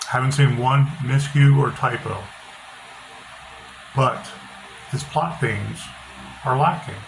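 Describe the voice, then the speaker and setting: A middle-aged author talking casually with a loud, bass-heavy voice, blunt and opinionated, often repeating himself. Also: male voice, takes it slowly. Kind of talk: casual monologue. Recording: noisy background hiss.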